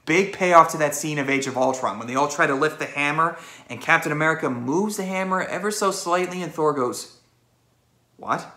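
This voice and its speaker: An excitable, emphatic man giving an animated movie review and retelling scenes. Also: on the high side, a little fast, echoey room. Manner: excitable, emphatic, acts out reactions.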